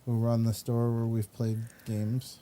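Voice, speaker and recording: Male host, relaxed dark tone, halting casual photo commentary, faint room noise. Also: mid-range voice.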